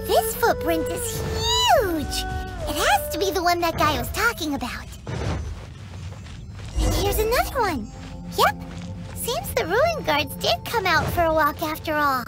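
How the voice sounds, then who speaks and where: A woman's voice: huge pitch swings, full character voice. A woman voicing a tiny, cheeky fairy companion in a game cutscene, who talks about herself by her own name. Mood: excited. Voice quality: high, squeaky, bubbly. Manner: cheeky, quick-talking, speaks of herself by name. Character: tiny chirpy floating female fairy companion. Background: game music.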